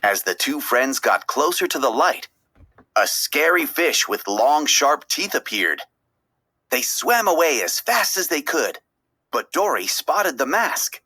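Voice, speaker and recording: A woman reading a children's story aloud as an expressive, dramatic narrator. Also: a bass voice, unhurried, husky. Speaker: storybook reader.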